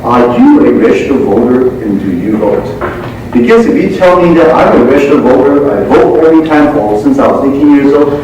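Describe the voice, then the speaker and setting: A man with a Cantonese accent speaks earnestly at a seminar panel, often repeating words as he gathers his thoughts. His voice sits at an ordinary pitch for a man. It is picked up from a distance, so the large room rings with heavy echo and steady noise underneath. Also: brisk. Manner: earnest, repeats words while searching for phrasing. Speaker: panelist, elected official and law firm owner. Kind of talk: panel discussion talk. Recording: echoey room, distant camera mic, noisy.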